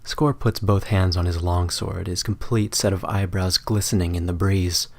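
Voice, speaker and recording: A man's voice: low-pitched, steady tone, moderate pace, creaky, a little mellow. A man reading his own fan fiction as a dramatic, mock-serious, theatrical narrator.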